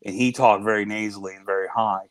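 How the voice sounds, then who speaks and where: A middle-aged man with a high, nasal voice, co-hosting a casual podcast chat. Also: steady tone, fast talker, dry recording. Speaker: podcast co-host.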